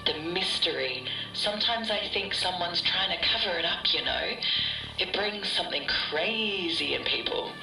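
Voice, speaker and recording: A woman playing an earnest obsessive webmaster, low-pitched, emphatic, ominous, distant call sound, mystery podcast. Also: animated, moderate pace, vocal fry, sharp tone, exaggerated voice. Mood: uneasy, conspiratorial. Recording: echoey, distant call, music behind.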